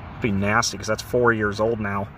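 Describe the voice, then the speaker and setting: A man vlogging casually as he walks around an empty building outdoors, chatty and quick to get indignant, with a phone camera's sound. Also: on the low side, little pitch movement, bright, forceful tone, a little hiss or hum. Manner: chatty, ranting, easily indignant. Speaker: urban explorer vlogger. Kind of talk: casual walk-around narration.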